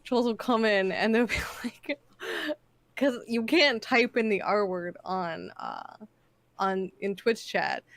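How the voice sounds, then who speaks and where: A woman's voice: mid-range voice, slow pace, creaky, fairly bright tone, no echo. A woman from Canada with a Canadian accent, chatting casually on a streamed panel and laughing through her stories.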